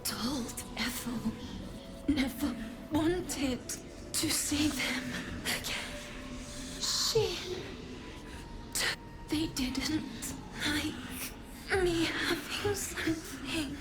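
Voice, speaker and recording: A wounded, embittered mother from a fantasy game, grieves in a high voice. The words come very slowly and haltingly, with music clearly playing underneath. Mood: bitter grief. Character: grieving, embittered mother mourning her lost child. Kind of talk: dramatic video game acting.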